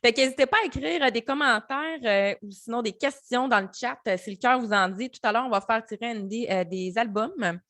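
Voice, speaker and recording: A woman's voice: fairly high pitch, brisk, few pauses, fairly bright tone, no room sound. A woman hosting a casual interview, asking questions in an upbeat way. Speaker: interviewer host.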